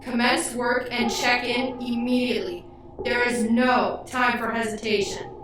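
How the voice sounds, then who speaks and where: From far off in a very echoey space, a woman acts as a spaceship's computer in a sci-fi radio play. The female voice is formal, commanding and impersonal, with clear background noise. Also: on the high side, little pitch movement, unhurried, natural voice. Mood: insistent. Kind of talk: repeated public announcement. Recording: very echoey, distant, noisy announcement.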